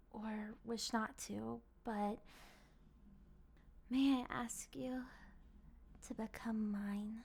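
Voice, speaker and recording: A woman playing a possessive, lovestruck vampire in an ASMR roleplay, speaking in formal, ornate, pleading phrases that often slip into breathy whispers. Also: a little high, a little mellow. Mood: yearning, pleading. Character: obsessive, courtly, possessive female vampire in love.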